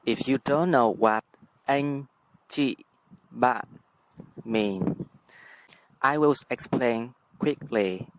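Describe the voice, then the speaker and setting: A man from Vietnam with a Vietnamese accent, a native speaker teaching his language in English with careful, deliberate explanations. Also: mid-range voice, slight background noise, low-quality recording. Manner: careful, deliberate, spells out words. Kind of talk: scripted language lesson.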